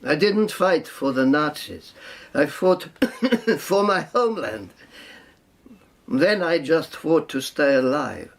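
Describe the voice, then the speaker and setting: An actor in a radio drama playing a sardonic, battle-worn European refugee with a lame leg, speaking haltingly with mocking formality. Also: male voice, a little high, big pitch swings, gravelly, exaggerated voice. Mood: wounded, probing.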